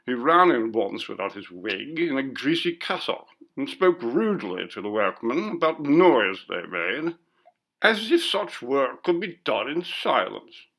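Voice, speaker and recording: A man's voice: mid-range voice, sing-song, measured pace, creaky, a bit bright, heavily acted. A man voicing a pompous, curt college bursar in a ghost story reading, with a disapproving manner. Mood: indignant.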